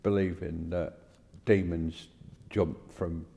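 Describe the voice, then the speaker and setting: A man with a lowish, soft-edged and mellow voice chats as a podcast co-host. He feels his way through his points tentatively, in a casual conversational style. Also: little pitch movement, takes it slowly, gravelly, in a large hall. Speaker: podcast co-host discussing the Bible. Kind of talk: casual discussion.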